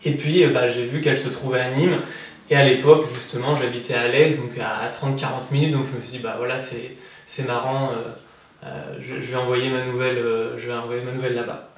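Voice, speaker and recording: A man in his thirties, an author from France, answering interview questions in a relaxed, hesitant way with many fillers and restarts. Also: mid-range voice, brisk. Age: 30s. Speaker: prize-winning author interviewed. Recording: echoey room, voice from far off the mic.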